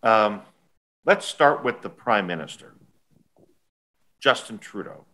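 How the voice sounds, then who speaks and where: Bright, lively male moderator. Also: lowish voice, slow. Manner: upbeat, leading questions. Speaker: focus group moderator. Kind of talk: moderating a discussion.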